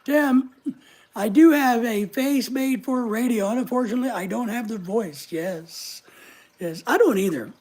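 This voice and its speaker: A middle-aged man with a high voice often taken for a woman's, chatting casually and joking at his own expense while reading viewer comments. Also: a little slow, a little mellow. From United States. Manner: chatty, joking, self-mocking. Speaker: channel host reading live chat. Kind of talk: casual livestream chat.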